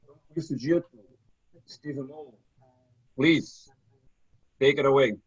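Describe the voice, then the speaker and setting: A man's voice: medium pitch, a little slow, husky. A man hosting an online community meetup on a video call, stumbling over repeated words and checking in with "tá?". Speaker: community event host. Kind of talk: live online meetup talk. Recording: dull, dry call audio.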